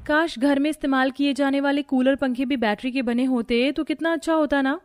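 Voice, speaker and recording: A woman's voice: high-pitched, steady tone, a little fast, close to an everyday voice. A woman voicing an earnest, practical woman of a poor household in a family cartoon, explaining things. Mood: thoughtful. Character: poor, practical mother-in-law and resourceful daughter-in-law. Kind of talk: Hindi family cartoon acting.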